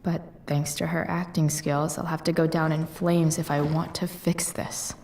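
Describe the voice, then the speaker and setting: A woman's voice: sits low, flat delivery, moderate pace, distant mic, background noise. An actress playing a rebellious teenage girl in a resolved inner monologue for a video game. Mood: grimly resolved. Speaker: voice actor.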